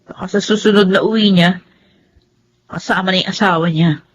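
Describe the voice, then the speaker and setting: An actress playing a young, outspoken Filipino daughter in a radio drama, exclamatory and full of fretful questions. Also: female voice, mid-range voice, steady tone, normal speed, husky, lo-fi sound, natural voice. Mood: gossipy, disapproving. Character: young outspoken daughter, fretful about her older brother. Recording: faint background noise.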